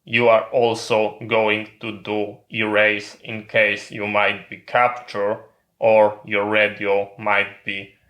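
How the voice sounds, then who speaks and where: Flat-toned man presenting, bright and measured. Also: lowish voice. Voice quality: non-native English phrasing. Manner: methodical, instructional. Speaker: tutorial presenter. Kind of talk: step-by-step hands-on equipment demonstration. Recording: roomy, echoing sound.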